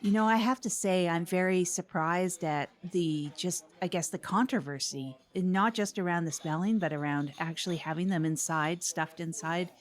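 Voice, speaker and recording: A woman's voice: on the low side, moderate pace, few pauses, close to an everyday voice. A woman playing a nervous, upbeat small-town community radio host in an audio comedy, with a hesitant, rambling, giggly manner. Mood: chatty, hesitant. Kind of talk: improvised-sounding comedy community radio chat.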